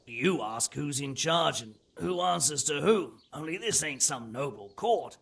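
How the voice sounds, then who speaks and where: Gravelly, husky captured bandit. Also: male voice, fairly high pitch, expressive, unhurried, a bit bright, heavily acted. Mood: wry, candid. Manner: rough colloquial speech with ain't and lad. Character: captured male bandit, resigned, world-weary and wry. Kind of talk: dramatic video game dialogue.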